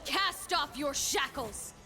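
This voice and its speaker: Stern young warrior woman, video game heroine, highish voice, slow solemn commands, determined, faint music behind. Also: lively intonation, pushed and bright, heavily acted. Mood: rallying, fierce. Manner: solemn, commanding, clipped declarations. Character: determined, stern young female warrior leader rallying her people. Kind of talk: video game character voice lines.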